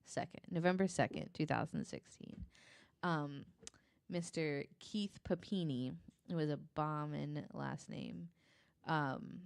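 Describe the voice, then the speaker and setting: A woman's voice: sits low, takes it slowly, vocal fry, smooth, dry recording. A woman telling a story casually and informally as a guest on a podcast.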